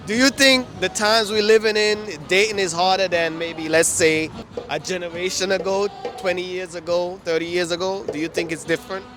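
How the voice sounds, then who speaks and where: Male street host, a bit high-pitched, repeats answers back, music bed under him. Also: fairly even intonation, moderate pace. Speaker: street interviewer. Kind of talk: street interview questions. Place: outdoors on a city street.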